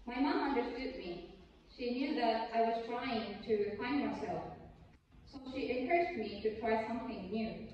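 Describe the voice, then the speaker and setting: A young Japanese woman with a Japanese accent giving a memorized speech at a lectern on an auditorium stage, earnest and a bit halting. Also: on the high side, darkish tone, slight background noise. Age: young adult. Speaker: student speaker. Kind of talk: memorized speech contest talk. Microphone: lectern mic, filmed from far in the hall. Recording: distant, echoey hall, dull sound.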